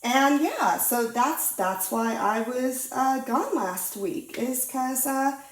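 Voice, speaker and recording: A woman's voice: high voice, expressive, slow. Bubbly woman with a stuffy cold. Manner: bubbly, chatty, lots of ums and uhs. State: nasal from a cold. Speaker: diamond painting channel host. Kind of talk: casual crafting chat. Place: indoor crafting table. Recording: roomy echo.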